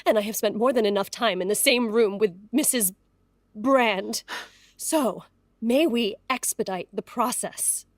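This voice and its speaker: An actress playing a distraught, devoted mother in a period audio drama, pleading in an earnest, halting, emotional way. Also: fairly high pitch, dry recording. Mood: tense, restrained.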